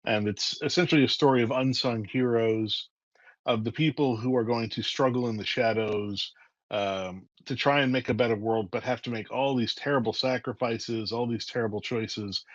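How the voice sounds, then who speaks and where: A man, a writer interviewed as guest, telling stories in a lively way and acting out scenes. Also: mid-range voice, no echo, muffled recording. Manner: lively, acts out scenes with voices. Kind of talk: animated storytelling in a casual interview.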